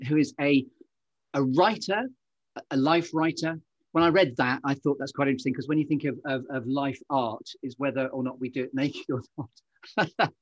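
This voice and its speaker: Effusive male podcast host, slightly husky. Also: mid-range voice, no room sound, lo-fi sound. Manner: effusive, wordy, meandering asides. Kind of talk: chatty, effusive podcast interview.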